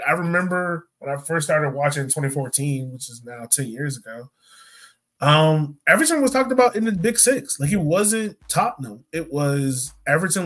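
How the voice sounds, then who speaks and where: American male podcast co-host, higher-pitched, casual and emphatic, conversational sports talk. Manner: colloquial, emphatic, repeats points for effect.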